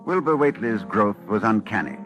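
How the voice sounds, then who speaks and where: An anxious learned doctor warning listeners in a 1940s radio horror drama. His voice is fairly low, formal and solemn, with a faint musical bed beneath. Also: big pitch swings, a little fast, fairly bright tone, faint music behind. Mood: ominous narration. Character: grave, anxious male scholar warning of cosmic horror. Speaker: narrator.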